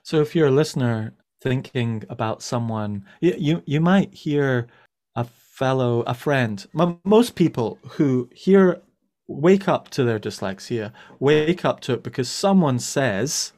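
A man's voice: mid-range voice, expressive, a little slow, a little mellow. A man hosting a podcast interview, talking in a loose, conversational way and restarting his sentences. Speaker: podcast host.

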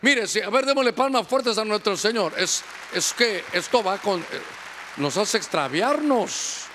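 Lively high-voiced man preaching a sermon. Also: unhurried, crowd noise, noisy background. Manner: lively, joking with the congregation, acting out little scenes.

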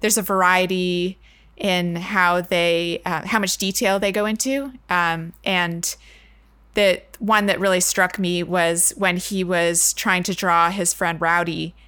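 A woman with a bright, forward voice on the lower side co-hosts a book discussion. Her delivery is conversational, and she often pauses to search for the right word. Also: steady tone, clean tone. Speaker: book discussion podcast co-host.

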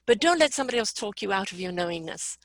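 A woman's voice: mid-range voice, lively intonation, fast talker, no echo, studio quality. A woman hosting a spiritual conversation, talking earnestly in long flowing thoughts and laughing often.

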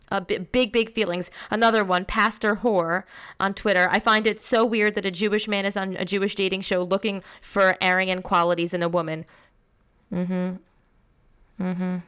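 A woman's voice: mid-range voice, a bit bright, smooth. A woman co-hosting a podcast, chatting casually and reading tweets aloud with laughs. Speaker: podcast co-host. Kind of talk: casual chat reviewing a reality show.

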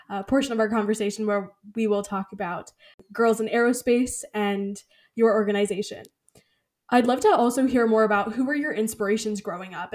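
A woman's voice: fairly high pitch, a little mellow. A woman hosting an interview podcast, reading a guest introduction and asking friendly questions. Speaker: podcast host.

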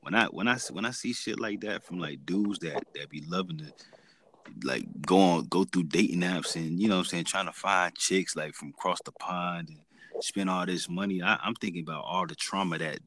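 A man's voice: low-pitched, moderate pace, a bit bright, no room sound. A man in casual podcast chat, talking slangy and laid-back with lots of fillers.

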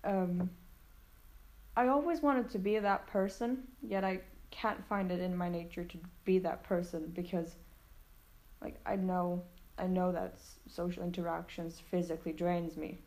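A young woman from Sweden chatting on a student podcast, reflective and halting, often restarting her thoughts. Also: fairly low pitch, steady tone, darkish tone. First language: Swedish. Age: teens. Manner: reflective, halting, self-doubting. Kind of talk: casual chat.

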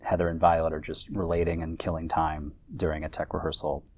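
A man interviewing actors, asking questions in a halting way with repeated words. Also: rumbling low, completely flat delivery, no room sound.

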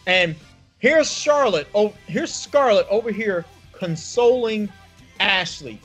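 A male podcast host in casual chat, retelling a story with lots of swearing. His voice sits high and husky and swings widely, with faint music under it. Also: slow pace. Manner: animated, profane storytelling.

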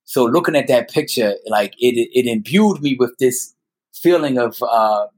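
A man in his fifties telling personal stories as a podcast guest, speaking earnestly and haltingly, repeating words for emphasis. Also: medium pitch, expressive, a little mellow. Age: 50s. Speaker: guest storyteller. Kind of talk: personal storytelling in a conversational interview.

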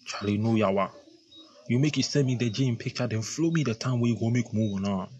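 A man's voice: medium pitch, brisk, a little mellow, highs cut off, slight background noise, lightly acted. A man acting a menacing gang leader in an audio play, with a Ghanaian accent. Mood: businesslike. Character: menacing young street thug, leader of a gang. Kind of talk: Pidgin street-talk dialogue in an audio play.

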